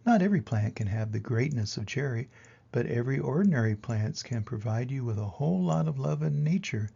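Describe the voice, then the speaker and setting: Older guy reading a kids book, raspy and creaky, dramatic pauses, bit of a dull recording. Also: mid-range voice. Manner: expressive, dramatic pauses and emphasis. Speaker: reader of children's picture books. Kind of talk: animated storybook read-aloud.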